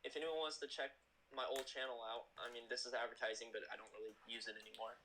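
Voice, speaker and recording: A young man in his late teens, a guest who works as an animator, rambling through stories in a casual, excited chat. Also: medium pitch, fast, a little hiss or hum, rough recording.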